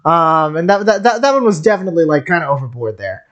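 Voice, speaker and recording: Excitable, rambling male game streamer. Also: on the high side, fast talker, muffled recording. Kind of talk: casual live game commentary.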